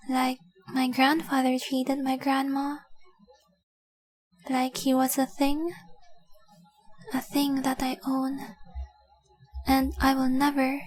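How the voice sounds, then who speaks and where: Girl doing a high soft-spoken dragon gf for romance audio, super earnest n possessive, really slow and intimate. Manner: earnest, intimate, deliberate, with breathy whispered phrases. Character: devoted young dragon girl, tenderly possessive fiancée. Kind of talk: heartfelt romantic audio roleplay.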